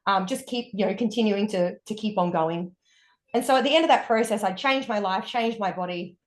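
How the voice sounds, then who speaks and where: A woman's voice: medium pitch, a little fast. Chatty Australian woman, echoey room. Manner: chatty, upbeat and candid. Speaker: guest, wellness coach. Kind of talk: casual interview storytelling.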